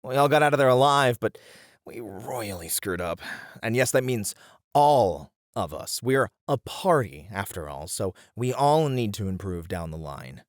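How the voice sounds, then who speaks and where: Man playing a grumbling, expressive fantasy warrior. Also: lowish voice, measured pace, no room sound, clean studio sound. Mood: exasperated. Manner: exasperated, grumbling, earnest. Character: gruff, grumbling, responsible muscular male warrior adventurer. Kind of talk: fantasy audio roleplay acting.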